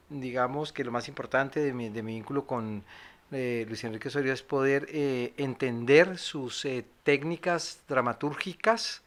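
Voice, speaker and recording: A man with a Colombian accent talks reflectively about his work in theatre. His voice sits at a middle pitch. He goes carefully, halting often to choose his words. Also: pushed and bright. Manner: halting, deliberate, with many pauses. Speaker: theatre director and playwright, guest panelist. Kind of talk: reflective spoken introduction.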